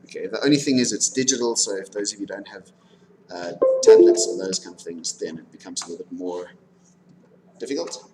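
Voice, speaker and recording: A man lecturing informally on animation software, talking through a live demonstration and often checking in with his students. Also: lowish voice, fairly quick, over loud music, low-quality recording. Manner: instructive, frequently checking in with "okay". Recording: background noise, room ambience.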